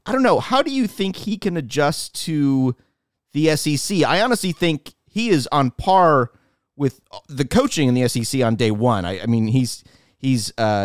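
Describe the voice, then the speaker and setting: A man's voice: medium pitch, expressive, moderate pace, no room sound, clean studio sound. A middle-aged man co-hosting a podcast, chatting casually and emphatically about sports and culture. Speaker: podcast co-host.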